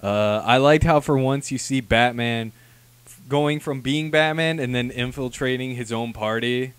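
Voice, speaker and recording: A man's voice: mid-range voice, a little slow, clean tone, no room sound. A man co-hosting a comic book review show, bantering casually and off the cuff with sarcastic jokes. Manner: sarcastic, joking.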